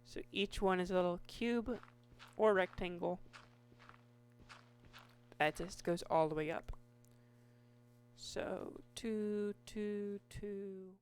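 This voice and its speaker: Hoarse woman chatting slowly through her minecraft build, lots of ums and restarts, soft music under it. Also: medium pitch, fairly even intonation. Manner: slow, meandering, with many restarts and fillers. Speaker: Minecraft YouTuber. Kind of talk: casual gameplay commentary.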